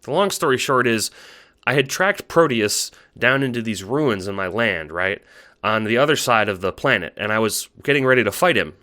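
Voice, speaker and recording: A man playing a timid young male alien troll in an audio drama, halting and stammering, eager to please and unsure of himself. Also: mid-range voice, animated, pushed and bright. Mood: hesitant, eager. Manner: halting, stammering, self-doubting. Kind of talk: audio drama monologue and dialogue.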